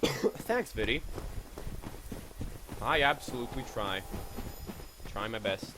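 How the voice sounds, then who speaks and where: A man's voice: medium pitch, animated, takes it slowly, noisy background. A young man with a slight Serbian accent, chatting casually while streaming a game, thanking viewers and swearing at it. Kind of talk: casual live-stream commentary.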